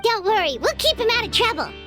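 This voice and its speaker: A tiny fairy girl with an extremely high, squeaky voice. She is a chirpy, bossy video game companion whose pitch bounces about over music. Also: sing-song, fast. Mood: chirpy, insistent. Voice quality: squeaky, childlike. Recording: game music behind dialogue.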